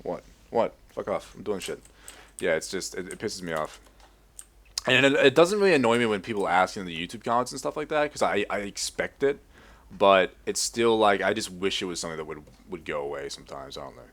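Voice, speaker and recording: Low-voiced young man, American accent. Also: lively intonation, a bit bright. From Australia. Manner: rambling, profane, halting restarts. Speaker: gaming YouTuber. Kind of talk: casual commentary over gameplay.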